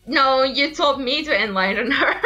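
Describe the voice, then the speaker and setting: A young woman with a bright, clear voice that rises and falls a lot. She talks slowly and teases her friends in a relaxed call while soft music plays behind her. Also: sits high, lo-fi sound. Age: young adult. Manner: laughs often, teasing. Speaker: co-host on a drawing stream. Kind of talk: casual chat.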